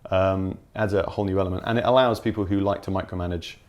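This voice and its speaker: A man's voice: low voice, steady tone, quick pace. A man chatting informally and enthusiastically as a game developer explaining features in an interview. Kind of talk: casual explanatory chat.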